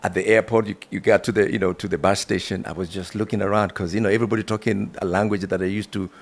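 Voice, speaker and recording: A middle-aged man from Kenya with a Kenyan accent, telling his life story as an interview guest, animated and full of "you know". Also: lowish voice, fast.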